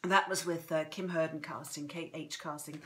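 A woman's voice: low-pitched, fairly even intonation, normal speed. Chatty woman with a slightly throaty voice. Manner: chatty and effusive, greeting viewers by name. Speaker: author hosting a live book reading. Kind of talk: casual livestream chat. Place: home room, indoors. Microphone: phone propped in front of her.

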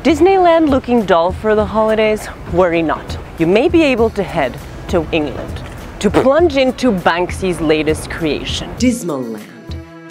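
Low-pitched woman presenting over music. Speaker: host of a street art news show. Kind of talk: scripted upbeat presenting with casual slang. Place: city street, outdoors. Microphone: lapel mic.

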